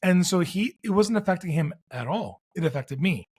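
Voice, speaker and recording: A man's voice: sits high, lively intonation, moderate pace, darkish tone, breathy edge. A man from Canada hosting a tennis podcast, chatting casually and telling stories with frequent restarts. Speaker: podcast host. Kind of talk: casual interview chat.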